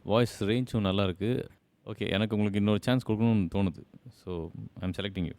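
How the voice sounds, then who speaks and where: A man's voice: lowish voice, steady tone, normal speed, darkish tone, slight background noise. A man speaking off the cuff as a singing contest judge, repeating phrases and mixing in English. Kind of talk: unscripted judging and panel chat.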